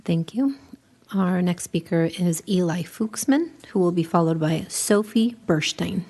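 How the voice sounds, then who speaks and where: A woman acting as the meeting clerk, briskly calling the next speakers. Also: on the low side, lively intonation, darkish tone. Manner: brisk, procedural.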